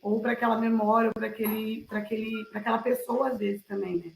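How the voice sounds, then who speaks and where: A woman's voice: a little high, steady tone, fast, vocal fry, a little hiss or hum. A woman, sharing reflections casually on a video call. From Minas Gerais, Brazil. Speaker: participant in an online cultural gathering. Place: online video call, roomy indoor space. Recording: roomy echo on a video call.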